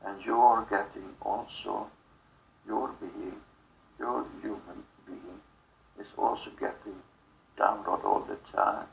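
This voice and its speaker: A low-voiced man speaks very slowly as a spiritual channeler, with a heavy creak in the voice. His comes out in short, broken phrases with long gaps, over faint background noise. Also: fairly even intonation, poor audio. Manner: halting, fragmented phrases with long pauses.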